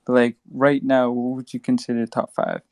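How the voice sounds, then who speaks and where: Man asking in a flat, even voice. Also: medium pitch, normal speed, no echo, clean studio sound. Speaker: call-in participant. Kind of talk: casual discussion.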